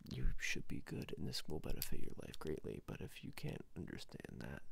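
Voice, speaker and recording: A man playing an eccentric, pushy, cryptic ring salesman in an ASMR roleplay, halting and repeating his phrases over and over, close to the microphone. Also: rumbling low, huge pitch swings, vocal fry, fairly bright tone, husky. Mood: cryptic, warning.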